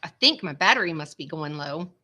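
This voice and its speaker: A woman's voice: deep voice, expressive, a little fast, bright, forceful tone. A woman with a stuffy, congested nose, chatting casually to viewers on a live stream from her computer at home. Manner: chatty, rambling, says "y'all" often. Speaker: vlogger hosting a live stream. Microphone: small webcam.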